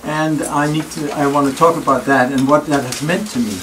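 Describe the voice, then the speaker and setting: A man's voice: on the high side, steady tone, fairly bright tone. Older Danish-accented male lecturer, wry and reflective; far-off camera audio with faint noise. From Denmark. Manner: thoughtful and wry, with pauses and self-deprecating asides. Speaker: speaker giving a library talk. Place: wood-paneled library room. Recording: distant room recording with faint noise.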